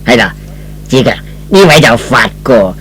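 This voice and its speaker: Guy doing an older dad in an old radio play, earnest and measured, voice moves up and down a lot. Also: mid-range voice, sing-song, a bit bright, husky. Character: approving, earnest older father of a grown son. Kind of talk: old Cantonese radio drama acting.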